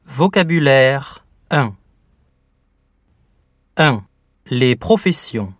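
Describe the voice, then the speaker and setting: A man narrating a language course, reading words and headings very slowly with deliberate articulation. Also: mid-range voice, animated, dry recording, studio quality. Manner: very slow, deliberate, exaggerated articulation. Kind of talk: scripted pronunciation lesson reading.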